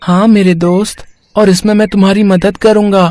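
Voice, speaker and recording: A man puts on a seven-year-old boy for a Hindi moral tale, secretly a god. The voice is raised high and sounds kind, earnest and wise, gently encouraging a friend. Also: level tone, normal speed, dry recording, natural voice. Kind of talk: Hindi moral storybook acting.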